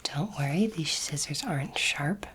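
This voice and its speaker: A woman whispering gently and reassuringly in an ASMR roleplay, acting as a calm, kindly alien doctor, close to the microphone. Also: low-pitched, background noise. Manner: soothing, gentle, reassuring, unhurried. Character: kindly, calm female alien space doctor examining a human. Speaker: ASMR performer playing an alien space doctor.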